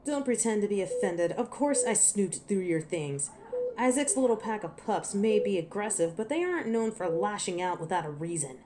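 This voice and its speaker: A woman's voice: mid-range voice, animated, moderate pace, mellow, lightly acted. A woman voicing a cocky, ancient werewolf in an audio roleplay, teasing and menacing with a sardonic, impatient manner. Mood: wry, explaining. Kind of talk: scripted audio roleplay monologue to a listener.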